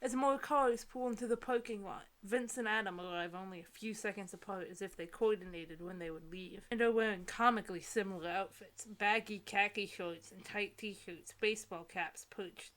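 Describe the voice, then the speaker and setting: Bright-voiced young woman reading aloud with commentary. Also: mid-range voice, normal speed, hardly any pauses. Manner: giggly, incredulous asides. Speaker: co-host reading book excerpts aloud. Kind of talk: casual comedic read-aloud with running commentary.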